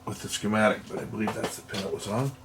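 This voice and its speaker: A man's voice: on the low side, quick pace, noisy background. A man giving unscripted, hands-on repair commentary, explaining matter-of-factly and thinking aloud as he works. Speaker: electronics repairer and video host. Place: indoors at a repair workbench.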